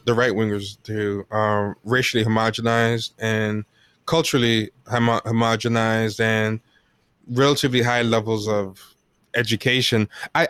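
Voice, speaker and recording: An American man talking casually and reflectively in an interview, feeling his way through his points in a halting manner. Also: mid-range voice, fairly bright tone, clear, resonant tone, no echo, studio quality. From United States. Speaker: podcast guest.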